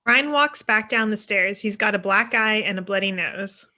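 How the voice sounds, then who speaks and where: A woman's voice: medium pitch, normal speed, sharp tone. A young woman reading scripted stage directions as narrator over a video call. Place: indoor room on a video call.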